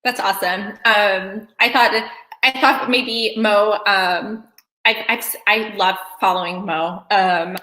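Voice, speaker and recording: A woman from Kosovo, Albanian her first language, hosts a video call in a bright, forward voice. She talks chattily, full of ums and restarts. The sound bounces around an echoey room. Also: medium pitch. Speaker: event host. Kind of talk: casual online meeting chat.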